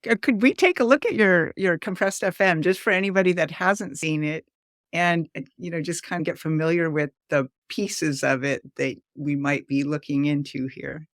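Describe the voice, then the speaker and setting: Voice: mid-range voice, steady tone, normal speed, fairly bright tone, dry recording. Dry-recorded casual interview co-host. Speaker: show co-host.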